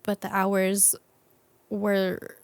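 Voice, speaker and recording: Creaky-voiced young woman, casual guest. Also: mid-range voice, completely flat delivery, drawn-out words, no echo. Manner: informal, thinking aloud with frequent "like". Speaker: interview guest, business owner. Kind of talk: casual conversational interview.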